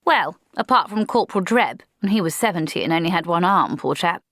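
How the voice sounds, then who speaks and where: A woman's voice: lowish voice, animated, fairly quick, a bit bright, no room sound, clean studio sound. A woman reading aloud, voicing a polite, nervous young male army lieutenant in a storybook. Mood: fretful.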